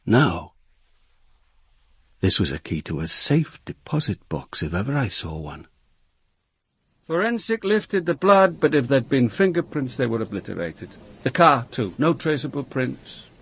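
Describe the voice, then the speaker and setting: An actor playing a shrewd, wry police inspector who narrates his case in a measured, reflective way for a radio drama. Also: medium pitch, big pitch swings, slightly rough, heavily acted. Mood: musing, puzzled. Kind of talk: radio drama first-person narration and dialogue.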